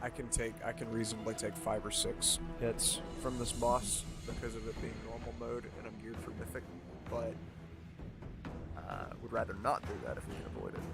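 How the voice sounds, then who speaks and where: A man leading a video game raid over voice chat, giving clear step-by-step instructions to his group. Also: on the low side, expressive, moderate pace. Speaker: raid leader and streamer. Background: loud game music.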